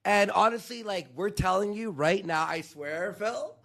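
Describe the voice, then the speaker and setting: A man's voice: high voice, measured pace, vocal fry, a crowd in the background. A man on a stream speaking casually and emphatically, leaning on filler words. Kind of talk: heated streamed discussion.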